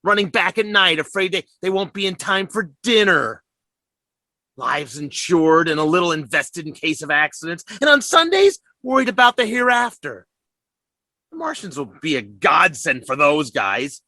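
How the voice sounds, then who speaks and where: A man's voice: fairly high pitch, sing-song, moderate pace, sharp tone, no echo. An actor playing a ragged militia survivor who rambles and stammers through his scheme in a radio drama. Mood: scheming.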